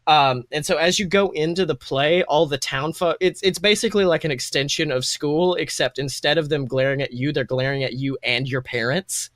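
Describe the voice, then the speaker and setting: A man with a fairly high voice runs a tabletop roleplaying game. He improvises the story informally, meandering and slipping into slang. The sound is dry, with almost no room to it. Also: normal speed, hardly any pauses, a bit bright, no room sound. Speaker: game master.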